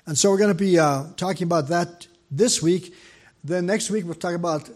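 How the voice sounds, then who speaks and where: An older man with a soft, slightly high voice preaches a sermon into a church microphone. He talks quickly, often stopping for fillers and restarting his sentences. His tone stays gentle and personal. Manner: speaks fast, with frequent fillers and restarts. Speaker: guest preacher. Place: church sanctuary.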